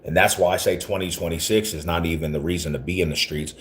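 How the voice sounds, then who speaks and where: A man's voice: deep voice, fairly even intonation, quick pace. A man in his forties from Denver, talking casually to his livestream viewers in rambling street slang and often repeating himself. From Denver, Colorado. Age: 40s.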